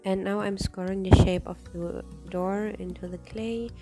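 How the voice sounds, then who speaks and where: A woman with a deep, husky voice, hoarse from a cold, explaining each step of a craft tutorial, with small slips. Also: steady tone, moderate pace, dark tone, soft background music. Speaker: craft channel host.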